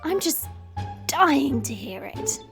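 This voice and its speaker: A woman acts out a proud fantasy heroine for an audio roleplay. Her voice is high and swoops expressively, with a slow, smug delivery. She sounds superior and teasing, yet caring underneath. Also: sing-song, vocal fry, a bit bright, soft background music, heavily acted. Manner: smug, sardonic, drawn-out delivery.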